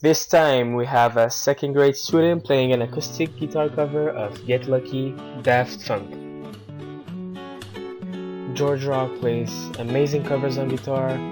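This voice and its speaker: A man's voice: mid-range voice, fairly even intonation, a little slow, over music. A man hosting a community radio show in a scripted presenting style.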